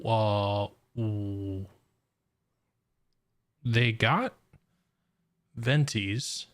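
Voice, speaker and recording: A man streaming a game live with casual, rambling, jokey commentary in a laid-back way. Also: medium pitch.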